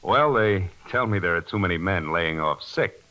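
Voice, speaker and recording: An actor with an American accent playing a smug, bossy young factory boss, blustering and condescending in 1940s radio style. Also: male voice, sits low, animated, moderate pace, sharp tone.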